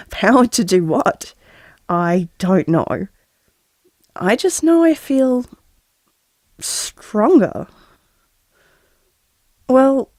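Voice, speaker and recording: An actress playing a tender, wry pregnant woman musing gently to her unborn baby in an audio drama. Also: mid-range voice, dry recording, clean studio sound, exaggerated voice. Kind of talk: intimate audio drama monologue.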